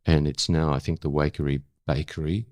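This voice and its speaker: An Australian man of seventy talking reflectively in an interview, in an unhurried, halting storytelling way with emphatic repetition. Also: extremely low pitch, level tone, darkish tone, no room sound, clean studio sound. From South Australia. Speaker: interview guest, former broadcaster.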